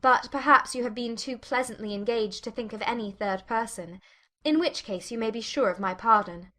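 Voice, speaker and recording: A woman voicing a spirited, candid young gentlewoman in a dramatized classic novel, articulate and politely challenging. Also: on the high side, moderate pace. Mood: curious.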